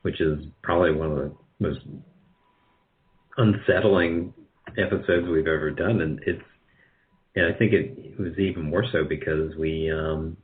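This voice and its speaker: A man being interviewed as a radio drama producer, speaking casually and haltingly, often chuckling. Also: a bass voice, steady tone. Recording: dull, band-limited radio call audio.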